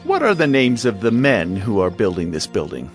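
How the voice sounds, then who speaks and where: An officious provincial governor. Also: male voice, on the low side, wildly swooping pitch, normal speed, faint music behind. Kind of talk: dramatized scripture reading.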